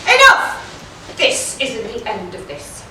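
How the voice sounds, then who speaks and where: A woman's voice: fairly high pitch, huge pitch swings, slow, sharp tone, exaggerated voice. A woman acting a bold, cheeky outlaw hero, declaiming in pantomime style. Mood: defiant. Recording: very echoey hall, distant mic, faint noise.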